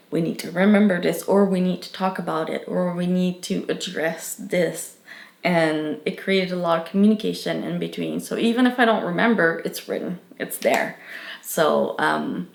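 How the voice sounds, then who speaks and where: A woman's voice: mid-range voice, creaky, roomy sound. A young woman with a French accent, a native French speaker, answering interview questions earnestly and thoughtfully, with many fillers and restarts.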